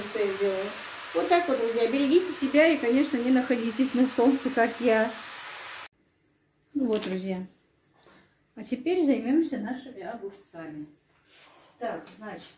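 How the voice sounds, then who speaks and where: A middle-aged woman talks casually and at length to her viewers from home, in a homely, rambling manner that keeps turning to them as friends. Her voice sits a little high. The sound is roomy and picked up from some distance, with a faint hum of background noise. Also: lively intonation, normal speed, long pauses, a little mellow. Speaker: home vlogger. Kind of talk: casual chatty monologue to viewers. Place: rural home.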